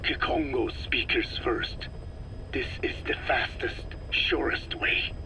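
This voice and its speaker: A man's voice: medium pitch, noisy background, lightly acted. An actor playing a grave, learned old man, a scientist lecturing formally in a video game cutscene. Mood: solemn, firm. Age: older. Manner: solemn, deliberate, formal lecturing.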